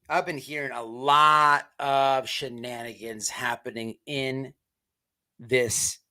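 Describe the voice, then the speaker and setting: A man hosting an online show with off-the-cuff livestream commentary, halting as he repeats words and restarts. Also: medium pitch, pushed and bright.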